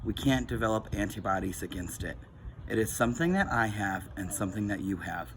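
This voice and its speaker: A man reads verse in a lowish voice. Faint background noise sits under the reading. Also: animated, fairly quick. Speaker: poetry reader. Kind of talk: spoken poetry reading.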